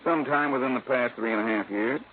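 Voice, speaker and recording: A man's voice: medium pitch, fast, narrow, tinny audio, lightly acted. An actor playing a patient, dry insurance man with colloquial, emphatic speech in a 1950s radio drama. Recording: thin old radio sound, faint noise.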